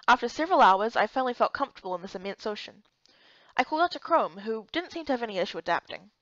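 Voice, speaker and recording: A woman reading scripted first-person story narration in an expressive, dramatized manner. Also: fairly high pitch, quick pace, no room sound, lo-fi sound. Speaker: narrator and reader of a visual novel.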